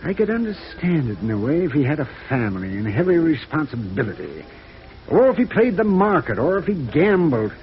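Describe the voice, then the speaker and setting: An actor playing a hesitant, troubled accounting chief who repeats himself, in 1950s radio drama style. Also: male voice, a little high, sing-song, a little fast. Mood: baffled, flustered. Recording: muffled, noisy vintage radio recording.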